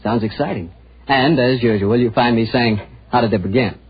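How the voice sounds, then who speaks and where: A man's voice: mid-range voice, moderate pace. A man playing a genial, eager American radio announcer with folksy sales patter, in 1940s broadcast style. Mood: friendly, curious. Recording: muffled, husky 1940s radio broadcast recording.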